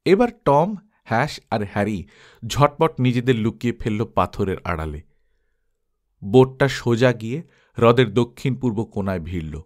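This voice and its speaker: A man's voice: mid-range voice, moderate pace, pauses often. A man reading an adventure story aloud as dramatized audiobook narration, acting expressively through the tale. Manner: expressive, acts out characters' dialogue.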